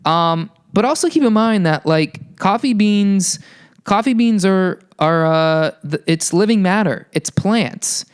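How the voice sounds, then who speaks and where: A man's voice: animated, takes it slowly, clear, resonant tone. A young man hosting a casual health podcast, talking in a sarcastic, profane ramble full of fillers and restarts. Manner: puts on silly high voices for quoted people. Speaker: podcast host.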